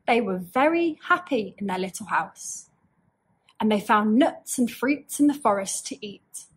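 A young woman reading and talking through a story for children at an unhurried pace. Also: mid-range voice, expressive, slightly rough. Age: young adult. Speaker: story reader. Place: small indoor room with bookshelves.

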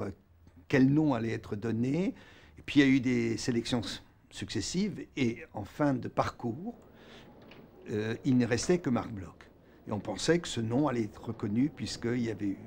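Older male interviewee, gravelly creak, medium pitch, explanatory, self-interrupting phrasing. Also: darkish tone, a little hiss or hum. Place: small plain room indoors.

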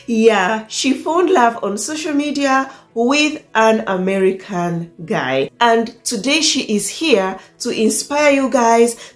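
A woman in her thirties hosting a vlog, chatty and laughing often as she talks straight to viewers. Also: a little high. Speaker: channel host. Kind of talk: friendly vlog chat. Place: indoors, small living room. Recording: echoey room with soft background music.

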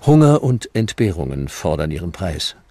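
Very deep-voiced documentary narrator, male. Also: big pitch swings, normal speed, mellow, a little hiss or hum.

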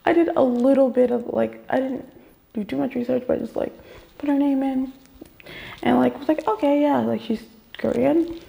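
Young woman vlogger, heavy vocal fry, slightly high voice, rambling chatty delivery, home camera mic. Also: normal speed, mellow, rough recording. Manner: rambling, chatty, many fillers and restarts. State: slightly off voice after a vaccine shot. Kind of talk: casual story time vlog. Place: bedroom gaming setup, indoors.

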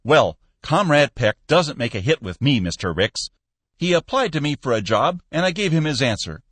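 A man's voice: mid-range voice, sing-song, moderate pace, a bit bright, breathy edge, no echo, voice pushed up. A man reading an audiobook, voicing a cold, stern male office manager who talks in a stiff, complaining way. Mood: indignant.

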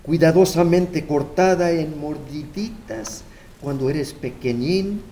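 Older man, soft mellow tone, slow pace, deliberate poetry recital. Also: on the high side, a little hiss or hum. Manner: measured, deliberate, bilingual. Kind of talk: dramatic poetry reading. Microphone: stand microphone at lectern.

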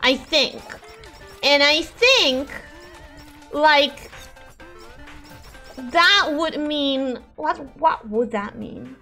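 A woman whose first language is Spanish streams a game in a very high-pitched voice. Her delivery is animated, swinging widely in pitch. She chats casually and expressively with her viewers, with music playing behind her. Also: very slow, long pauses. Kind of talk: casual livestream chat over gameplay.

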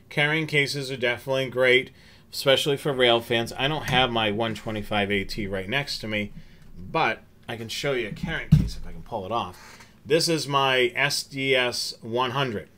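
A man's voice: mid-range voice, bright, forceful tone. American man casually explaining gear. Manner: conversational, practical, explaining step by step. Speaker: host of a railfan show. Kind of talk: casual explanatory vlog talk to viewers. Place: small home office with bookshelves and curtains, indoors.

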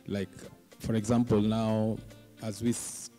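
A middle-aged man speaking as a panelist, pausing often mid-sentence, into a handheld microphone on stage. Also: fairly low pitch, little pitch movement, mellow, soft background music. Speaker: panelist, fish processor representative. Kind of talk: panel discussion remarks. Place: indoor conference stage. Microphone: handheld microphone close to mouth.

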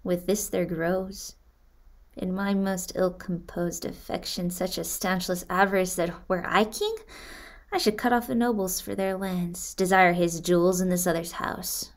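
An actress playing a guarded young exiled prince in a Shakespearean radio drama, with measured, declamatory verse. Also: female voice, fairly low pitch, steady tone. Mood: grave, self-accusing.

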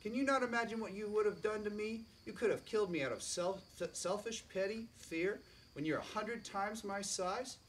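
A man voicing a tiny, proud female scarab queen who scolds sharply in a high, loud voice. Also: normal speed, slight background noise. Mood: scolding. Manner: shrill, sharp and haughty, lecturing. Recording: distant mic, faint crickets.

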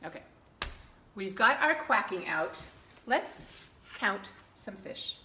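A woman's voice: mid-range voice, expressive, takes it slowly, bright, forceful tone. A middle-aged woman leading a storytime for toddlers, speaking in simple, short, repeated phrases as a children's librarian. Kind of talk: children's storytime, reading aloud and counting along. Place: small indoor room with curtain backdrop. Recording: echoey room with clear background noise.

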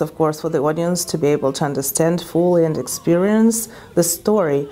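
Middle-aged russian woman, diction coach, talks slow and thoughtful in english w/ little slips, lapel mic interview. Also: lowish voice, dark tone. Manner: thoughtful, unhurried non-native English with small grammar slips. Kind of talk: documentary interview.

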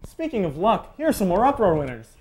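Animated teen boy, mock Irish accent. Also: high voice, fast talker. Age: teens. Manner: putting on an exaggerated Irish accent. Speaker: student newscast anchor. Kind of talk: scripted comedic news banter. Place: small indoor school TV studio.

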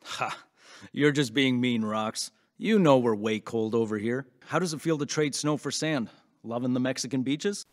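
An actor playing an upbeat, boyish radio show host who chats informally and with enthusiasm in an audio drama. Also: male voice, medium pitch, normal speed, lightly acted. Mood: teasing, curious. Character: upbeat male late-night radio host, chatty and boyish.

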